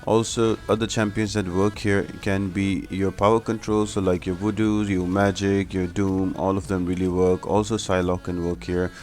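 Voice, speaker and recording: A man's voice: low voice, little pitch movement, normal speed, hardly any pauses, darkish tone. A man giving explanatory, step-by-step commentary as the host of a video game guide. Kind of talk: gameplay tutorial commentary.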